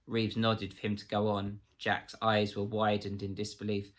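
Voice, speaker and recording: A man reading a children's novel aloud as scripted, expressive narration. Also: fairly low pitch, normal speed. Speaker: story reader.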